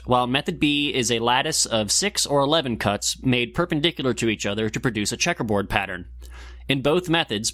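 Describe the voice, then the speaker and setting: Male presenter, scripted explainer, methodical and instructional, mid-range pitch, very little room sound. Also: a bit bright, dry recording. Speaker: segment presenter and lab technician.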